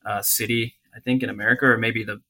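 Man, bright voice, casual interview, rambling and hedging, food truck owner. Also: fairly low pitch, little pitch movement, fast.

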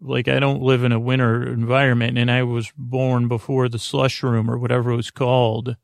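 A man hosting a sleep podcast, talking slowly and meandering with lots of filler words, mumbles and a creaky, soothing tone. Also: medium pitch, level tone, no room sound, clean studio sound. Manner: slow, meandering, soothing and self-deprecating. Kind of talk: rambling bedtime podcast talk.

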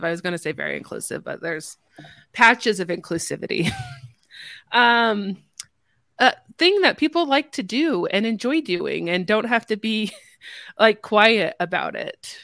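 A woman's voice: medium pitch, sharp tone, dry recording, clean studio sound. A woman hosting a podcast in a casual, chatty style. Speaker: podcast host.

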